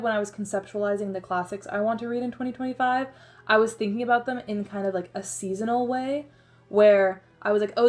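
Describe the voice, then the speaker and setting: A woman's voice: on the high side, a little mellow. Chatty young woman narrating a vlog. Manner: chatty, self-deprecating, frequent "like" fillers. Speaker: book vlogger. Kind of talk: casual voice-over narration.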